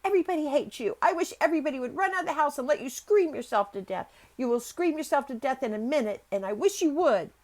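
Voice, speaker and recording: A woman's voice: medium pitch, huge pitch swings, measured pace, hardly any pauses, darkish tone. A woman reading a storybook, voicing a savage, bossy little girl in a temper, with emphatic, commanding delivery. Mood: furious. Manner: commanding, contradicting, stamping emphasis.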